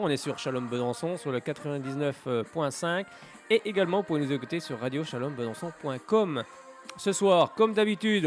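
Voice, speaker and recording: Congested man hosting radio sports. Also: on the high side. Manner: hesitant, many filler sounds, joking. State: stuffy with a head cold. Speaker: radio sports show host. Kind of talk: casual radio sports talk. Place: radio studio.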